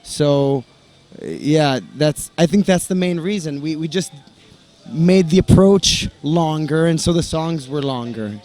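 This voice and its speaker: A man's voice: fairly high pitch, lively intonation, takes it slowly, darkish tone. A young American man, bilingual in English and Italian, answering interview questions in a hesitant, restarting way. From United States. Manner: hesitant, many restarts and fillers. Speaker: band member, interview guest. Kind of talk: casual interview talk.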